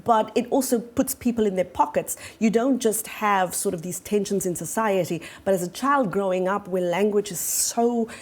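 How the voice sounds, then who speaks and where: A woman's voice: mid-range voice, moderate pace, hardly any pauses. A woman from South Africa hosting a studio panel discussion, speaking reflectively and personally with occasional "um". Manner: reflective, personal, uses "um" while thinking. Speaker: host.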